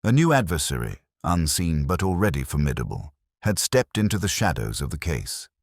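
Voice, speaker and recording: A man narrating a detective story in a measured, literary manner, as for an audiobook. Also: rumbling low, big pitch swings, dry recording, clean studio sound.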